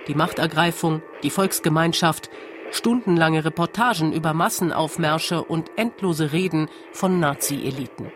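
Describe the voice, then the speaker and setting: A narrator reading a scripted radio documentary. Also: mid-range voice.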